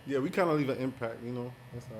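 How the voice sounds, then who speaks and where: A man co-hosting a casual chat, teasing the guest with quick questions. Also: mid-range voice, a crowd in the background. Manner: short interjections, teasing.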